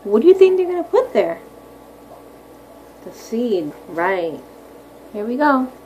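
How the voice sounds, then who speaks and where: A woman with a somewhat high voice gives a read-aloud lesson for young children over some background noise. She explains things simply, like a primary teacher, and keeps checking in with questions. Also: big pitch swings, slow, mellow, lo-fi sound. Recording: clearly audible background noise.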